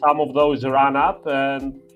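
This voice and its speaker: A man's voice: medium pitch, level tone, highs cut off, over music. Hesitant young man from Albania. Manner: hesitant, many "uh" pauses, slightly broken English. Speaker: guest. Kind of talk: casual chat.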